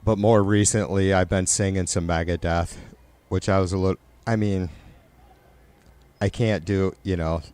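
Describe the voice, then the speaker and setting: A middle-aged man with a nasal, raspy voice, hosting a livestream and chatting loosely with viewers, rambling and swearing. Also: low voice, fairly even intonation, normal speed, darkish tone. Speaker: livestream host. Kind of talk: casual chat with live viewers.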